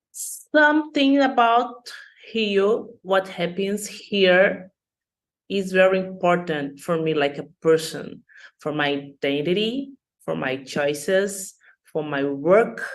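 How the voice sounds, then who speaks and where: A woman's voice: lowish voice, takes it slowly, long pauses. A woman from Rio de Janeiro with a Brazilian Portuguese accent, a native Portuguese speaker giving a careful, prerecorded talk in English as a guest.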